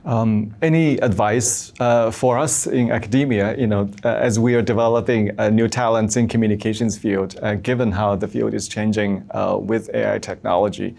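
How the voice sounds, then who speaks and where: A man with a fairly low, creaky voice moderates an online conversation. He puts his questions haltingly, piecing them together in short phrases as an interviewer. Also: steady tone, a little mellow. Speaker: interviewer, academic host.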